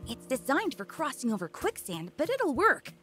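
An eager little creature mechanic from a video game. The voice is very high and lively, polite and earnest, with a chirpy lilt. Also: female voice, normal speed, over music. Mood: earnest, helpful.

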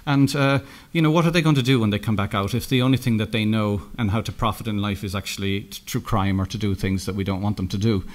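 A middle-aged Irish man giving a conference keynote, telling anecdotes with lots of halting restarts and self-corrections. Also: lowish voice. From Ireland. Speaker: keynote speaker. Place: conference room, indoors.

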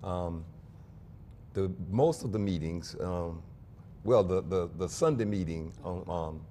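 Older male pastor, very low mellow voice, measured and earnest, lapel mic, faint hum. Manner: measured, earnest, with small restarts. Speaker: pastor and convention guest. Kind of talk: studio interview. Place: small TV studio set.

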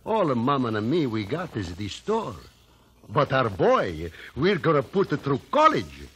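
Kindly, animated Italian shopkeeper papa. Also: male voice, mid-range voice, husky, exaggerated voice. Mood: gently earnest. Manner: halting broken English. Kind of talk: 1950s radio drama acting. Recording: noisy vintage radio recording.

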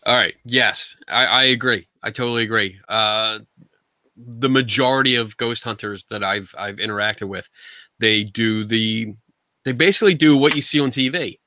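A middle-aged man from the United States talks over a video call, blunt and colloquial, with animated energy. His voice is bright and forceful, with a dry, close sound. He speaks from a room at home. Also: fairly low pitch, normal speed, dry recording. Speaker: guest paranormal investigator. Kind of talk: casual video call interview. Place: home room full of collectibles.

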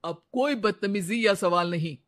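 A man voicing a teasing, self-assured young husband in an animated story. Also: high voice, unhurried. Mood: challenging.

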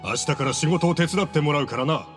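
A man playing a strict, exasperated employer who scolds deliberately in an anime game. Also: fairly high pitch, in a large hall, faint music behind, natural voice. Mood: stern, exasperated. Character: strict, exasperated male employer giving orders.

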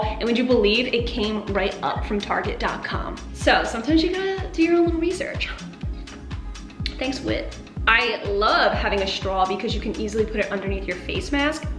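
A woman's voice: fairly high pitch, roomy sound. Bubbly, slangy woman vlogger. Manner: bubbly, slangy, talks straight to camera. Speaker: fitness vlogger. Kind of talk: casual chatty product rundown. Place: bright indoor home kitchen.

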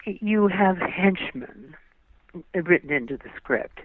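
An older woman, a guest actress, recalling her television work in a gracious, drawn-out way, often affirming with yes. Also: lowish voice, expressive. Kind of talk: interview recollection. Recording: tinny dry phone line.

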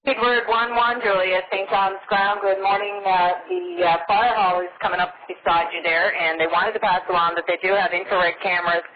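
A man's voice: high-pitched, steady tone, normal speed, hardly any pauses. A man working as an airport ground controller, talking with pilots over aviation radio. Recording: thin, phone-like aviation radio.

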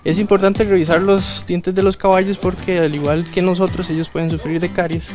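A man's voice: high voice, level tone, fairly quick, music in the background. A young man, an equine vet, patiently explaining in an interview. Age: young adult. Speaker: equine veterinarian.

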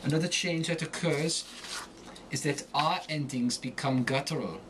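A man giving a scripted lesson in a deliberate, theatrical way with emphatic enunciation. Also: a little high, background noise. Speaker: accent coach. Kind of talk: scripted accent lesson with example monologue.

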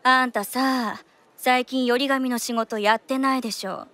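An actress playing a worldly, caring big-sister courtesan in a period radio drama, teasing and bossy, with familiar old-fashioned speech. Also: female voice, high voice, steady tone, measured pace, fairly bright tone, no echo. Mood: scolding.

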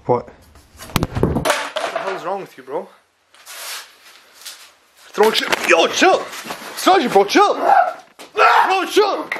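A man's voice: extremely high pitch, wildly swooping pitch, takes it slowly, long pauses, heavy background noise. A young man filming his friend, reacting with alarmed, urgent chatter and calling everyone "bro". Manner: alarmed, urgent, repeats "bro" and "chill" constantly. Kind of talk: casual reactive commentary.

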